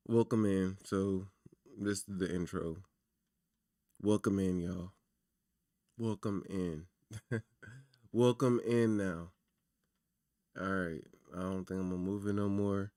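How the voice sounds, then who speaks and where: A man with a low, naturally raspy voice hosts a casual livestream, talking loosely and warmly to his viewers. His sound is dry and close, with no room echo, as if picked up by a desk mic at home. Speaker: livestream host.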